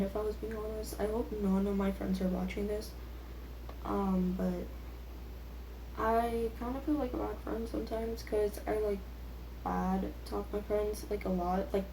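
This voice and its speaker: A woman's voice: mid-range voice, steady tone, moderate pace, mellow. A teenage girl chatting casually and rambling, with a self-deprecating, youthful way of talking full of filler words. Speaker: vlogger. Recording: echoey room with faint background noise.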